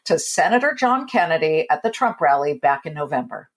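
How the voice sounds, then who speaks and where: A woman from California hosting an interview show in a lower voice. She chats with a very lively lilt and laughs easily as she goes. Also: brisk. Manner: chatty, animated, laughs easily. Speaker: host. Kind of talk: podcast interview.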